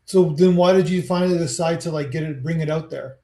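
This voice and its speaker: Mellow Canadian man in an echoey room. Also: fairly high pitch. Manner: halting, rambling questions with many restarts. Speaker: podcast interviewer. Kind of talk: casual interview chat.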